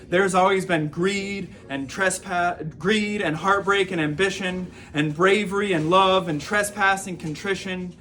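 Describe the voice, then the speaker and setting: A man performing spoken-word poetry, reciting in a rhythmic, emphatic way with drawn-out phrases and softened line endings. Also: high-pitched, slight background noise.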